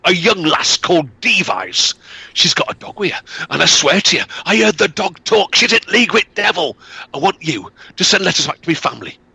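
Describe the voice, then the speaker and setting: A man voicing a cursed peddler from a historical tale, shouting in gruff northern dialect. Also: medium pitch, very animated, fast, dry recording, full character voice. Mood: frantic, accusing. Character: injured middle-aged male peddler, frantic.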